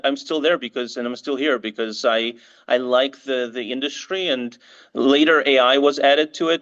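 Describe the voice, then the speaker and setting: A man's voice: mid-range voice, fairly bright tone, clean tone, dull audio. A middle-aged man, a marketing agency head, answers interview questions thoughtfully with frequent restarts and ums. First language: English and Russian. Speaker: marketing agency CEO, guest.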